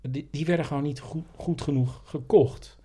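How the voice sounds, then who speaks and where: A man's voice: on the high side, steady tone, moderate pace, mellow, breathy edge. A man co-hosting a podcast, telling stories and explaining things in a casual, conversational way. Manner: explanatory, anecdotal. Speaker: podcast co-host.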